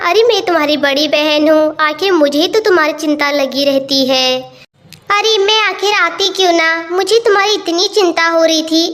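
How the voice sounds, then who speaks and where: Woman voicing a very high-pitched, boastful rich elder sister. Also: fairly bright tone. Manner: patronizing, emphatic.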